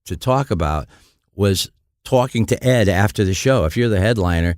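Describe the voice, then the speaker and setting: A man's voice: lowish voice, lively intonation, moderate pace, a little mellow, no room sound, studio quality. An older man from the US Midwest, a guest musician telling long anecdotes with many restarts. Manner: rambling anecdotes, frequent restarts. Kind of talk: storytelling in conversation.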